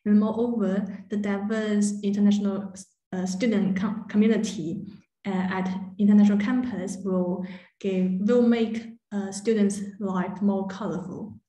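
A woman presenting a university program in a webinar over a video call, pausing often with fillers. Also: medium pitch, steady tone, warm tone, reverberant, poor audio. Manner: hesitant, many "uh" fillers. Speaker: admissions presenter.

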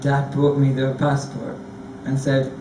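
A man's voice: mid-range voice, completely flat delivery, measured pace, far from the mic, noisy background. Man telling stories in an echoey hall. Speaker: panelist. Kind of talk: anecdotal storytelling. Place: large theatre stage before an audience. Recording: echoey hall recorded from afar.

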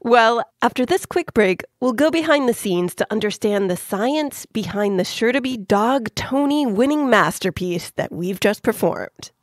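A woman's voice: fairly high pitch, lively intonation, unhurried, hardly any pauses, dry recording, clean studio sound. A woman hosting a children's science podcast, explaining things in a playful, scripted narrating style. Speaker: podcast host.

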